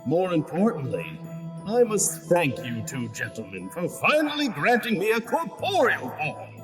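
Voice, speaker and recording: A pompous, archly polite spirit who has taken over a body, swoops dramatically from line to line. The delivery is slow and theatrical with a menacing edge, in a podcast horror skit with loud music underneath. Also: male voice, sits high, wildly swooping pitch, voice pushed up, exaggerated voice. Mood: smug, gleeful. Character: genteel, theatrical male spirit possessing a man, menacing.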